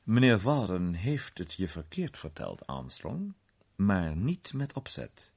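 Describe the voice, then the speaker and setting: A man voicing a calm, polite, erudite gentleman sleuth with measured manners in a story reading. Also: low-pitched, gravelly, slightly rough, exaggerated voice. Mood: calm, courteous.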